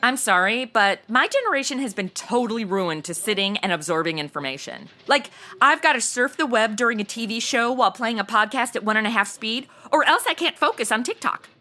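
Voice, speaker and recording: An actress playing a flighty, self-absorbed young podcaster in a comedy audio drama, chatty, slangy and gushing. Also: female voice, a little high, animated, brisk, few pauses, bright, forceful tone. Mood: flippant. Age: young adult.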